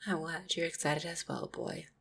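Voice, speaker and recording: A low-voiced orc woman, played by a woman, husky and often dropping close to a whisper. She is a loving, supportive fiancée in a fantasy roleplay audio. Her delivery is tender and reassuring, with some gentle teasing and a creaky edge. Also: animated, moderate pace, heavily acted. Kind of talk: intimate roleplay audio acting.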